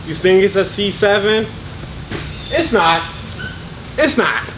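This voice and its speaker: A man's voice: high voice, animated, slow, background noise. A man co-hosting a car shop vlog, joking and hyping up the vehicles in casual banter. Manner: playful, hype-man banter. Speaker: shop worker and vlog co-host.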